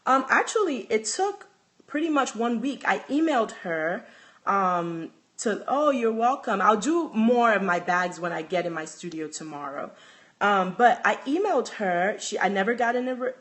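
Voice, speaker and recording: A woman's voice: mid-range voice, animated, normal speed, fairly bright tone. A young woman with a Nigerian accent, a handbag designer chatting casually on a phone livestream, restarting sentences and reading out viewer comments.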